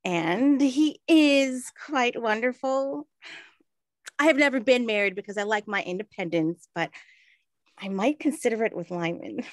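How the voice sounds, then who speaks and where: A woman's voice: on the high side, unhurried, dry recording, clean studio sound, close to an everyday voice. A woman playing an earnest, proper 1920s woman in an audio drama, explaining things carefully. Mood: happy, confiding.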